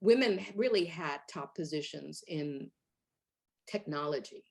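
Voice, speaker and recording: A middle-aged woman from Hungary, a guest in an interview, telling her story in a lively way with fillers and laughs. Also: low-pitched, unhurried, creaky. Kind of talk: conversational interview.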